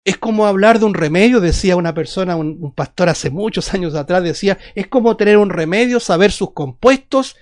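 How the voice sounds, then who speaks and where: A man's voice: high voice, brisk, hardly any pauses. A Chilean man preaching a Bible sermon in an emphatic, didactic way, repeating phrases and asking rhetorical questions. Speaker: evangelical preacher.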